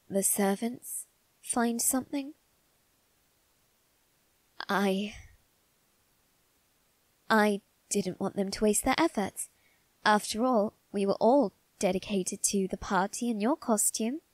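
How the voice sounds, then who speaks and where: A woman voicing a doting, secretive young male vampire aristocrat in a soft, intimate ASMR roleplay, with refined, coaxing phrasing. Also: medium pitch, no echo, close to an everyday voice. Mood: coy, evasive. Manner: coaxing, gentle, unhurried, refined phrasing with tag questions. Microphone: close, dry microphone.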